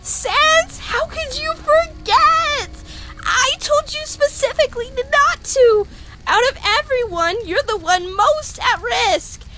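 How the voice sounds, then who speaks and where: A woman's voice: animated, measured pace, background noise. A woman voicing a nervous, geeky female monster scientist in a comic dub, stammering as she explains earnestly. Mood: alarmed, scolding. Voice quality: stammering, high-pitched. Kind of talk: animated comic dub acting. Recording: music behind the voice.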